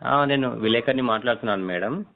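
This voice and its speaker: A man's voice: mid-range voice, fast, creaky. A man, a reporter speaking deferentially in a phone interview. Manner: deferential, repeatedly saying madam. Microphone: phone line. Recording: noisy, tinny phone call recording.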